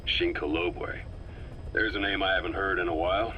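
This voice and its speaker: An actor playing a terse, brooding middle-aged military commander, speaking deliberately as he ponders in a video game. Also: sits low, fairly even intonation, dull audio, noisy background, close to an everyday voice. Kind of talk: scripted game voice acting.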